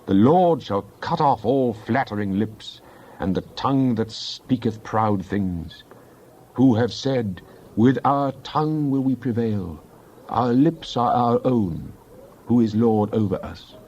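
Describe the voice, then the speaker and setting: A man giving a dramatized Bible reading in a solemn, declamatory manner with deliberate phrasing. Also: mid-range voice, animated, a little mellow, lo-fi sound. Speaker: scripture narrator.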